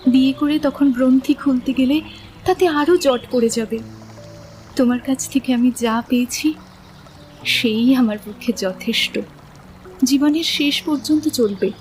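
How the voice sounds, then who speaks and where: A woman playing a gentle, earnest young woman in a romantic audio drama, speaking softly and candidly about her doubts in love. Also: high-pitched. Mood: pleading. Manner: soft-toned, sincere, measured. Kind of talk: Bengali literary audio drama acting.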